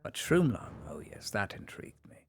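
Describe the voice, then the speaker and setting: A man playing a cold, zealous imperial enforcer in a live roleplay, scornful and commanding, dropping to whispers and rising to shouts. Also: a little fast, noisy background, natural voice. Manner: swings between soft whispers and sudden shouts. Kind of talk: live roleplay in a virtual world.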